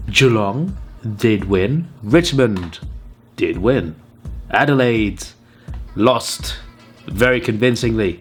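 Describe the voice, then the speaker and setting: A hoarse man who is under the weather, hosting a channel and tallying wrong viewer tips with mock exasperation. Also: fairly low pitch. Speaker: channel host. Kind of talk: casual commentary reading out viewer tips.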